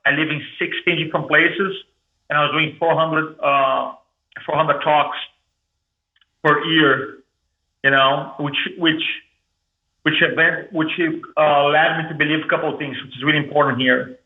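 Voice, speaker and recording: Over a phone-like line in a roomy space, a man speaks with a thick Brazilian Portuguese accent, his voice pitched a little high. He is an interview guest telling his story with energy and often restarts his words. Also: fairly even intonation, moderate pace. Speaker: guest, public speaking coach.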